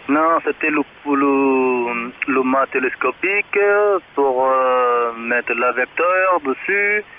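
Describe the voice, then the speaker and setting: A man speaks casually as a radio operator, haltingly and with repeated words. The sound is very narrow and tinny, like a phone line, with a faint hiss under it. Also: mid-range voice.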